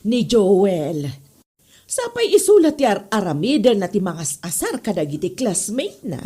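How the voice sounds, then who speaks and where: A woman with an Ilocano accent playing an exasperated, high-strung spinster schoolteacher in a comedy drama, dramatic and scolding. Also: wildly swooping pitch, measured pace, warm tone, slightly rough, heavily acted. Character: exasperated, high-blooded old-maid schoolteacher. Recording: music behind. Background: music.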